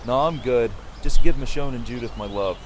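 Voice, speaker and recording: An actor playing a weary, earnest community leader in an audio drama, with a measured delivery. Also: male voice, mid-range voice, lightly acted. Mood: concerned. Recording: clearly noisy outdoor ambience behind voice.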